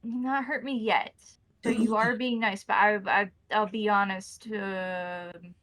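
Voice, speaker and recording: A woman acts out a captive vampire drifter in a tabletop roleplay. She is a mouthy, wary woman with a bright, pressing voice, frustrated and rambling as she bargains. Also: mid-range voice, steady tone, measured pace, no room sound, close to an everyday voice. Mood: wary, candid.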